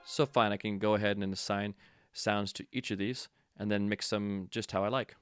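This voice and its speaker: A man giving a casual software tutorial, informal and offhand, thinking aloud as an instructor demonstrating step by step. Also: fairly low pitch, fairly even intonation, normal speed, smooth, soft background music.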